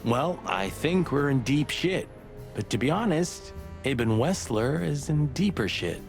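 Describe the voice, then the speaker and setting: Lively wisecracking rooster cop. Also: male voice, medium pitch, unhurried, creaky, faint music behind. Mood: wry, thoughtful. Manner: wisecracking, expressive, blustery. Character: loyal wisecracking male rooster cop, hot-headed sidekick. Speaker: detective's partner. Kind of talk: film noir game voice acting.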